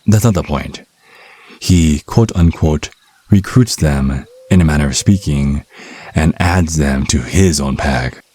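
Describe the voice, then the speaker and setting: Casting: male actor for cunning vampire captive, ASMR roleplay. Rumbling bass, dry close sound, taunting and mock-polite. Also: a little mellow, no room sound, exaggerated voice. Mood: smug, taunting. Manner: sardonic, mock-polite, teasing with pet names. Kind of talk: ASMR roleplay audio drama.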